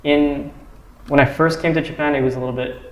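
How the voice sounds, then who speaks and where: A man's voice: a little high, fairly even intonation, slight background noise. Thoughtful young man, echoey room. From United States. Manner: thoughtful, measured explaining. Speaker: discussion participant. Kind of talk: casual discussion. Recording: roomy echo.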